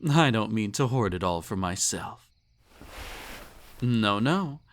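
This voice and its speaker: A man's voice: fairly low pitch, animated, slow. A man playing a snobbish, possessive emperor in an intimate roleplay audio drama, with pompous, condescending, teasing speech and ornate formal phrasing. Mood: doting, controlling. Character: snobbish, possessive male emperor, indulgent and slightly tipsy.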